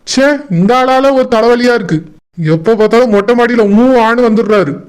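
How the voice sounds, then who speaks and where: A man's voice: very high-pitched, a little fast, clean tone. A man voicing an exasperated young villager in a comedy cartoon, grumbling irritably with a Kanyakumari Tamil accent. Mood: irritated grumbling.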